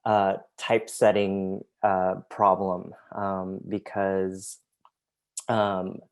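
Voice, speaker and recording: Man, artist presenting over a video call, low and unhurried, hesitant with lots of fillers, first language Vietnamese. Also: little pitch movement, clean tone. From United States. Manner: hesitant, many ums, soft-spoken. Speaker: artist and graphic designer. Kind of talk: presenting artwork in an online talk.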